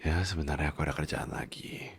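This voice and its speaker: A man performing intimate ASMR roleplay and storytelling in a hushed, caring manner. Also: rumbling low, fairly even intonation, fast, husky.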